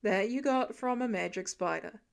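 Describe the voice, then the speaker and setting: A woman voicing a weary, sarcastic adult male hero who grumbles in a deadpan way in a story reading. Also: mid-range voice, normal speed, gravelly, lightly acted. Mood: incredulous, deadpan.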